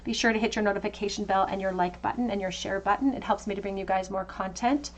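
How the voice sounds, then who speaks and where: A woman's voice: mid-range voice, fairly even intonation, fast talker, a little hiss or hum. Woman doing a tarot reading. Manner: intuitive, conversational, gently reassuring. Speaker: tarot reader. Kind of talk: tarot card reading to camera.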